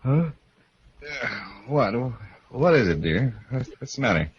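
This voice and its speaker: An actor playing an uneasy, skeptical husband in a haunted-house mystery, in 1940s radio drama style. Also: male voice, mid-range voice, big pitch swings, a little slow, slightly rough, lo-fi sound, heavily acted. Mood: puzzled, wary. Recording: noisy, band-limited old radio recording.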